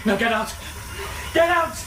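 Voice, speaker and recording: Panicked hothead for radio drama: extremely high, husky, wildly swooping, barking orders. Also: male voice, lively intonation, measured pace. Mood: frantic commanding. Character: frantic, enraged man barking panicked orders.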